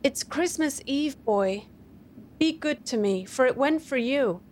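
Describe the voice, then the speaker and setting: A woman's voice: high voice, animated, a little slow, darkish tone, a little hiss or hum, voice pushed up. A woman voicing an anxious, loving young wife who speaks in an eager, pleading way.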